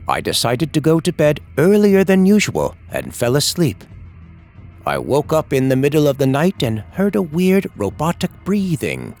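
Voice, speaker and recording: A man's voice: on the high side, darkish tone. A man reading a scripted first-person horror story in a suspenseful, dramatic manner. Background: music.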